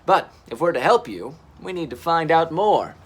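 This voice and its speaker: A man's voice: a little high, big pitch swings, normal speed, slightly rough, voice pushed up, heavily acted. A man voicing an eager, plucky male dinosaur captain in a children's story, upbeat and emphatic. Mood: keen.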